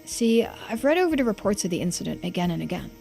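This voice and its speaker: A woman's voice: on the low side, sing-song, quick pace, creaky, over music. A woman voicing a polite, earnest daughter in a video game, courteous and a little tentative.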